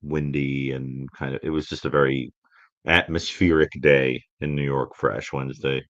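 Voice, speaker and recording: A man speaking reflectively as a guest expert in a live-stream conversation, musing and emphatic, halting and repeating phrases. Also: a bass voice, flat delivery, a bit bright, no echo, lo-fi sound.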